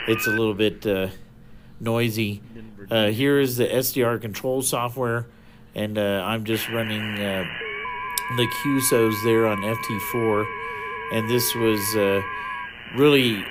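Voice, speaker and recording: A man giving a casual, unscripted, matter-of-fact explanation as a hobby radio vlogger, speaking haltingly. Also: lowish voice, very noisy.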